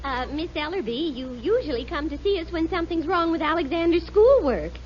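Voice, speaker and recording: An actress playing a sensible, cheerful young wife in a 1950 radio sitcom, sweet and lightly scolding. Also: female voice, very high-pitched, expressive, a little fast, crowd noise. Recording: dull old radio broadcast.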